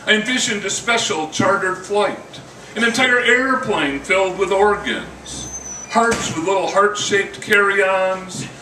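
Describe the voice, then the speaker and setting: A man's voice: sits high, expressive, measured pace. An older man giving a live poetry reading with casual introductions, in a wry, dryly humorous manner. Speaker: poet reading own work. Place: indoor coffee house reading. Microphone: stand microphone, recorded by camera from a distance. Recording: roomy, distant mic, noisy venue.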